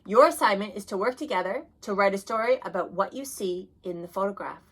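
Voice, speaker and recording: A woman's voice: mid-range voice, expressive, brisk, breathy edge. A woman voicing a kindly schoolteacher giving instructions in a read-aloud picture book. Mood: instructive.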